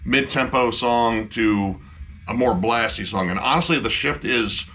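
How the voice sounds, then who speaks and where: A man's voice: medium pitch, measured pace, pushed and bright, slight background noise. A man co-hosting a casual album review chat, emphatic and swearing freely.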